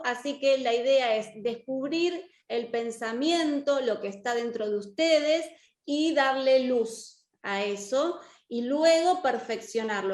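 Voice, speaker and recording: An Argentine woman with a very high voice teaches an online class. She is encouraging and often checks in with short questions. Her voice rings in an echoey room. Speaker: course teacher and lawyer. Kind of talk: interactive online lecture.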